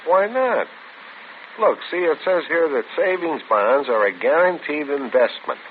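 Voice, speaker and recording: A man's voice: fairly high pitch, expressive, unhurried. An actor playing an earnest young husband in a 1940s radio skit, eagerly arguing his point. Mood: persuading. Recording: tinny old radio broadcast with hiss.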